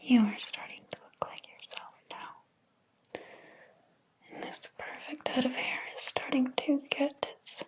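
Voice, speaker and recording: A woman playing a possessive, jealous hairdresser in a whispered ASMR roleplay, speaking softly in a slow, deliberate, controlling manner. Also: high-pitched, steady tone, poor audio. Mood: soothing, possessive. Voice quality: soft, breathy whisper.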